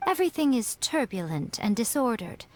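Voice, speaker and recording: A woman voicing a sly, composed fox priestess in a video game, poised, measured and teasing. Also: on the high side, sing-song, a little mellow, slight background noise. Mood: grave, explanatory. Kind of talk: dramatic game dialogue.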